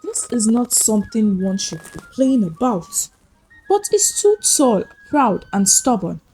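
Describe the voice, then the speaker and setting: Slow, high-pitched woman telling a dramatic folktale. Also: sing-song, mellow, breathy edge, poor audio. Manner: suspenseful, deliberate phrasing. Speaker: storyteller. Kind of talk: dramatic scripted folktale narration. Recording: music behind the voice. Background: background music.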